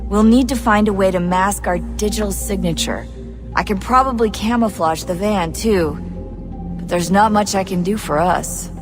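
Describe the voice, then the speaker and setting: Young female mission tech for a game, played by an actress: calm, practical, reassuring, mid-pitched, with loud music underneath. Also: moderate pace, natural voice. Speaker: mission support. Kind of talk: video game voice acting.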